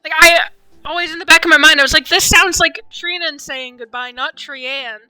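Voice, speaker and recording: A woman streaming a game, giving casual, rambling live commentary with animated fan reactions. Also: high voice, normal speed, no room sound, faint music behind.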